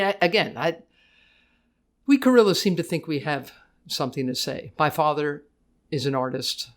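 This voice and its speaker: An older woman with a deep voice, a guest in a casual interview, talking fast in bursts, often restarting her sentences and laughing easily. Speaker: guest, tennis broadcaster.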